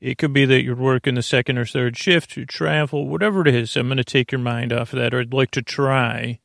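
A man with a creaky voice hosting a sleep podcast, meandering haltingly through a lulling, soothing bedtime monologue full of tangents. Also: mid-range voice, flat delivery, a bit bright, dry recording, clean studio sound.

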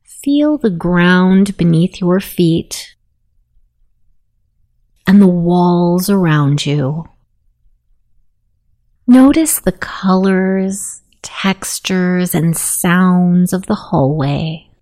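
Lady doing a guided meditation, super slow and kinda low, hushed and soothing. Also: animated. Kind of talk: guided meditation, self-hypnosis narration.

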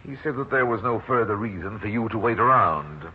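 Suspicious American small-town big shot, male actor, low rough voice, pointed delivery, tinny vintage radio. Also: lively intonation, brisk, slight background noise, natural voice. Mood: smoothly suspicious. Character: suspicious, self-important small-town politician man. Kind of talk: 1940s radio drama dialogue. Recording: very tinny old radio recording.

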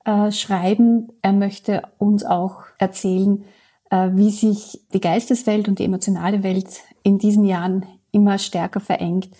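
Book reviewer, woman, soft dark timbre with some rasp, delivering a recommendation. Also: mid-range voice.

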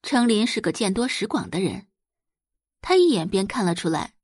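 A woman reading scripted fiction narration as an audiobook narrator, in an expressive storytelling style. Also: mid-range voice, moderate pace, breathy edge, dry recording, clean studio sound.

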